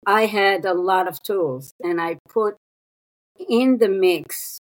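A woman in her sixties from Israel, a psychotherapist speaking as an interview guest in an unhurried, thoughtful way with pauses and fillers. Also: mid-range voice, low-quality recording. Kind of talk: reflective interview talk.

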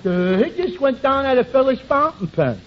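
An actor playing a dim-witted, good-natured barfly with earnest simpleton delivery in a 1940s radio sitcom. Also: male voice, extremely high pitch, big pitch swings, normal speed, a loud crowd. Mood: earnest, dopey. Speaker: comedy supporting player. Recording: muffled, noisy 1940s radio broadcast.

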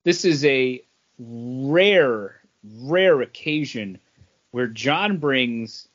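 A man's voice: mid-range voice, lively intonation, very slow, a bit bright, muffled recording. A man co-hosting a podcast, giving his opinions on comic books in casual chat.

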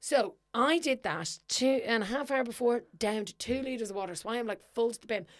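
A young woman co-hosting a podcast, chatting casually and telling stories, swearing freely, her voice sometimes a little gravelly. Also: on the high side, brisk. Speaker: podcast co-host. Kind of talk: casual chat.

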